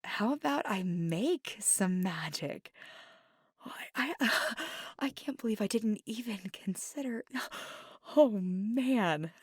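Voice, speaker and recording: A woman voicing a playful, mischievous, kindly witch in an intimate, unhurried ASMR monologue that slips into near-whispers. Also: medium pitch, expressive, husky, no echo, clean studio sound. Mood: giddy, excited. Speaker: ASMR audio performer.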